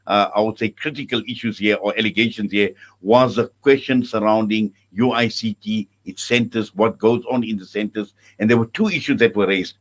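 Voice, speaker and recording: A man hosting a studio radio interview. He speaks in a measured way with frequent filler pauses. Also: fairly low pitch. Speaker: radio host. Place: broadcast studio. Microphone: studio microphone.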